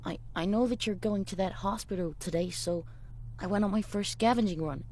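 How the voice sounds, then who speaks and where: An actress playing an eager, earnest child survivor, speaking a little hesitantly in a game drama. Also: female voice, mid-range voice, brisk, a little mellow, a little hiss or hum, natural voice. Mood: earnest, shy. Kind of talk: game voice acting, dialogue.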